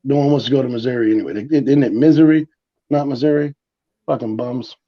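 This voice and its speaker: A man's voice: mid-range voice, fast talker. A man co-hosting a casual sports podcast, blunt and profane, talking with emphasis. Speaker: podcast co-host. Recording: dull lo-fi sound.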